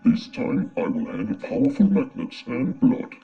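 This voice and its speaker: A man presenting a scripted science explainer, his voice run through a pitch-shifting voice changer. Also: sing-song, normal speed, gravelly, soft background music. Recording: muffled, lo-fi voice-changed audio.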